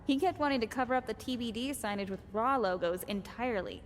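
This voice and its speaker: A woman's voice: fairly high pitch, animated, fast talker, close to an everyday voice. A woman playing a busy, loyal indie wrestling promoter with a dry, understated manner in a video game cutscene. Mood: dry, amused. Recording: long hall reverb, background noise.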